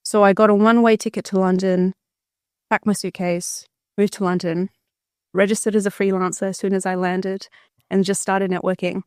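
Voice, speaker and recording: A woman's voice: mid-range voice, little pitch movement, moderate pace, darkish tone, clear, resonant tone, dry recording, studio quality. A woman, an articulate podcast guest telling a personal story conversationally and fluently. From Australia, born in Bulgaria.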